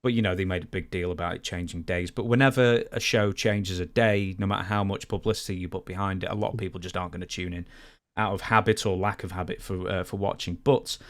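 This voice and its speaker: Lower-voiced male podcast co-host. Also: steady tone, quick pace. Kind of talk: casual news recap and commentary.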